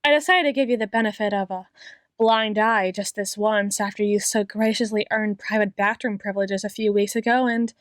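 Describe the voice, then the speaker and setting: A woman playing an obsessive, menacingly tender doctor in an ASMR roleplay, speaking in a deliberate, theatrical monologue with drawn-out words. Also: mid-range voice, fairly even intonation, dry recording, studio quality, close to an everyday voice. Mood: quiet menace. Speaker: audio roleplay performer.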